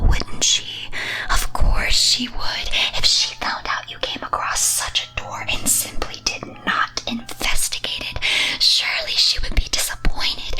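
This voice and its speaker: A woman playing a teasing, wicked demon mistress in a breathy, theatrical ASMR whisper, full of dramatic rhetorical questions. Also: on the high side, bright, forceful tone. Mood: tempting, brooding, then goading. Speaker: streamer performing as a demon-mistress persona.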